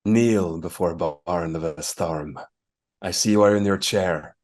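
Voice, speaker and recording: A man's voice: low voice, a little slow, a little mellow. An actor playing a haughty, domineering nobleman warlord in a grand fantasy video game. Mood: imperious.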